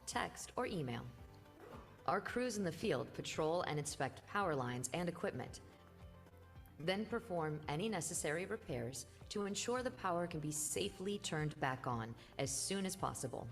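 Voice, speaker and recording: A woman reading a calm, scripted corporate safety voice-over. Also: lowish voice, moderate pace. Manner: calm, even, informative. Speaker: video narrator. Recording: played back in a reverberant hall with music.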